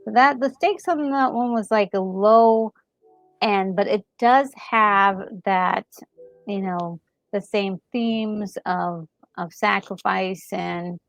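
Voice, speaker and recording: A woman's voice: mid-range voice, slow, vocal fry, dry recording. A woman in casual, reflective chat as a gaming co-host, hesitating and repeating words as she gathers her thoughts. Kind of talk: casual chat reviewing a game story.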